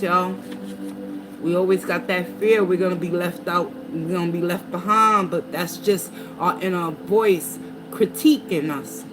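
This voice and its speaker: Woman tarot reader, mid-pitched, reads card meanings with casual asides; noisy background. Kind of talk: casual tarot reading to viewers. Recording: noticeable background noise.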